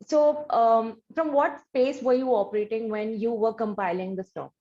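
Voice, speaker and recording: A woman's voice: a little high, moderate pace, mellow, highs cut off. A woman with an Indian accent hosting a conversational interview. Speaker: interview host.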